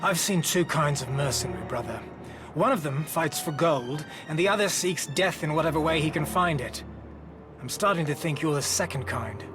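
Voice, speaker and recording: A man's voice: on the high side, lively intonation, normal speed, over music, natural voice. An actor voicing a blunt, wry male mercenary in a video game.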